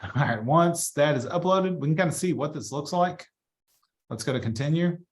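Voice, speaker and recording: A man giving a casual software walkthrough as a trainer, chatty and informal, thinking aloud as he works. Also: on the high side, animated, normal speed. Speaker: real estate trainer.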